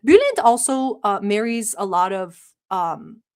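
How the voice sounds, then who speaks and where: A woman's voice: medium pitch, big pitch swings, measured pace, darkish tone. A woman from Turkey who hosts a livestream, chatting casually and rambling with many filler words, sometimes bossy and sarcastic toward her chat. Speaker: livestream reaction host.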